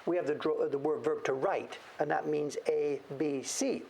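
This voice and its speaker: A man's voice: a little high, fairly even intonation, moderate pace, gravelly, a little mellow, slight background noise. A middle-aged man hosting a show-and-tell, chatting casually in a rambling, self-deprecating way. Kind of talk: casual livestream chat.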